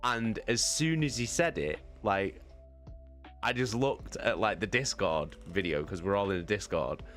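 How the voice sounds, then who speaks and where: A man casually telling a rambling story, excitable and sweary, hammering points home by repeating them. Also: on the low side, a little slow, faint music behind. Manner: sweary, excitable, emphatic repetition, giggly. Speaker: live streamer telling a story.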